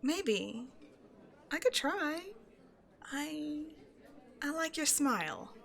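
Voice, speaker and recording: A woman acts out a shy young woman in an intimate roleplay audio. Her voice sits a little high. She talks hesitantly and apologetically, nervously overthinking as she rambles to a stranger. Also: very animated, very slow, vocal fry, fairly bright tone, full character voice. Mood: awkward, musing. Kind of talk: roleplay audio acting, intimate one-sided conversation.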